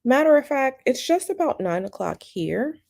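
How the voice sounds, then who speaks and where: A woman with a slightly raspy morning voice, chatting casually with viewers on a live stream from home and greeting them by name. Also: sits high, very animated, normal speed, darkish tone. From United States. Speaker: tarot channel host. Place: at home, bedroom.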